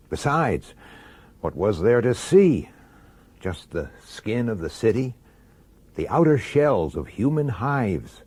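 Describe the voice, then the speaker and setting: A man's voice: on the low side, a little mellow, breathy edge, full character voice. An actor playing a sardonic, grumbling middle-aged male detective who tells his case in a radio drama. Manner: grumbling, sarcastic, hesitant with superiors. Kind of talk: radio drama acting with first-person narration.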